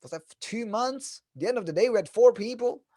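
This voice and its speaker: A man's voice: very high voice, fairly quick, warm tone, no echo, clean studio sound. A man from Italy with an Italian accent gives an informal, joking talk to an online audience, telling stories as a business coach. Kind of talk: animated presentation to an online audience. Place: online event.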